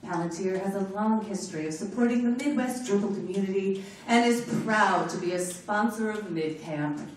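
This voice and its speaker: A woman announcing sponsors at a conference, loud and playful, joking between the scripted parts. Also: mid-range voice, moderate pace, hardly any pauses, recorded from a distance. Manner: loud, animated, joking asides. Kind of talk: playful live announcements to an audience. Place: large conference room with an audience. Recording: echoey hall recorded from afar, crowd noise.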